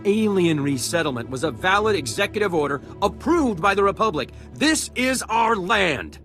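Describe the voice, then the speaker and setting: An entitled game settler who won't budge. His voice is on the high side and swings widely in pitch. Some music plays behind him. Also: sing-song, normal speed, fairly bright tone, full character voice. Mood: indignant, entitled. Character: entitled, stubborn male settler claiming family land. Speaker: voice actor in a video game. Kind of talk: scripted game cutscene dialogue.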